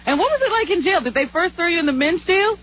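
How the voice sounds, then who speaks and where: High-voiced female radio co-host. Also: expressive, quick pace. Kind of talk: casual radio banter. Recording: tinny, noisy, band-limited radio broadcast.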